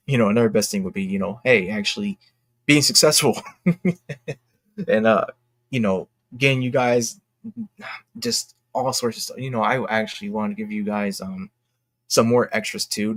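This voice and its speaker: A young man talking casually and candidly to his fans in a halting, reflective, earnest way, as an independent creator. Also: fairly low pitch, steady tone, a bit bright, dry recording. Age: young adult. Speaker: indie comic creator and vlogger.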